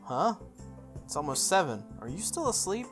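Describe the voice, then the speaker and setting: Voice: mid-range voice, big pitch swings, normal speed, lightly acted. A game player voicing a frank, tomboyish teenage schoolgirl from a visual novel, blunt and friendly, with music behind. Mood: breezy, teasing. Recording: music under the voice.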